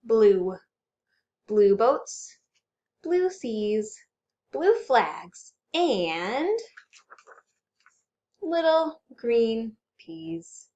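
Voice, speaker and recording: A woman hosting a children's storytime, reading aloud and chanting rhymes in an animated, exaggerated way for young children. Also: a little high, very slow, pauses often, lo-fi sound. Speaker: children's librarian storytime host.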